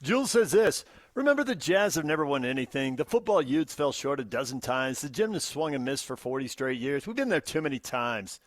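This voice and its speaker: A man's voice: medium pitch, big pitch swings, brisk, hardly any pauses, no echo, studio quality. An American man co-hosting a sports talk radio show, bantering and reading out comments in punchy, emphatic short phrases. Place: radio studio. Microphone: close studio microphone.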